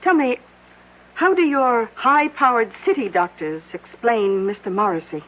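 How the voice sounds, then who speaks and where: An actress playing a sardonic, quietly menacing small-town widow in a radio drama, with a dry, pointed way of talking. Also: female voice, fairly high pitch. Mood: ominous. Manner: dry, pointed, measured sarcasm. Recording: tinny band-limited old radio broadcast, faint noise.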